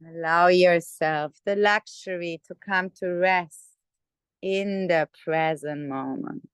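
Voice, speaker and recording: A woman leading a guided meditation in a gentle, unhurried manner with many pauses. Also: fairly low pitch, clear, resonant tone, no room sound. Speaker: meditation teacher. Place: online video call session.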